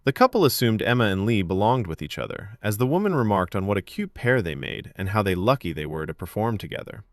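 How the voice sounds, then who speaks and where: A man narrating a scripted story in a measured, expressive audiobook style. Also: on the low side, no room sound, clean studio sound. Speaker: fiction podcast narrator.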